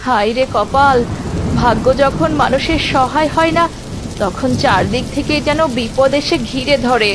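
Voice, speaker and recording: A woman's voice: high voice, measured pace, background noise. A woman voicing a poor, anguished village mother in a cartoon, with a plaintive, lamenting delivery. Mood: worried. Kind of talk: melodramatic cartoon dialogue.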